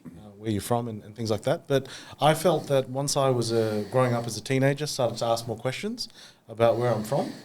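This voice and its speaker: A man's voice: medium pitch, fairly quick, a little mellow, slight background noise. An Australian man in his thirties, co-hosting a podcast in casual chat, reflective and thinking aloud with many fillers. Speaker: podcast co-host.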